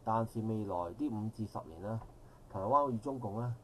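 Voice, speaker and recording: A man's voice: lowish voice, moderate pace, slight background noise. A middle-aged man with a Hong Kong Cantonese accent giving a long, rambling public comment at an outdoor park forum, with formal phrasing and frequent self-corrections. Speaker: audience member speaking at a public forum.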